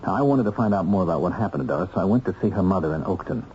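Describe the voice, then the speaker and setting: An actor playing an earnest, wry ex-convict husband in a radio drama, with a measured and sometimes hesitant delivery. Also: male voice, deep voice, lively intonation, mellow. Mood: earnest, intent. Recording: dull, noisy old radio recording.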